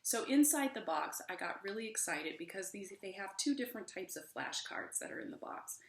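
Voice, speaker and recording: A woman's voice: medium pitch, animated, fairly quick, slight background noise. A middle-aged American woman, a children's author, explaining casually to camera at home. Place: small room indoors at home. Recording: roomy indoor echo.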